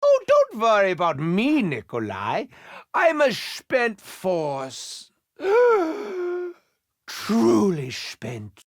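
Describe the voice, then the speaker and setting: A gleefully deranged German doctor, leaping all over his register and pitched a little high. He drags his words out slowly and with relish. The sound is close and dry, with no room at all. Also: fairly bright tone, no echo, heavily acted. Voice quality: theatrical, swooping, mock-wounded. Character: manic unhinged German male doctor, vain and gleeful. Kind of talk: video game voice lines.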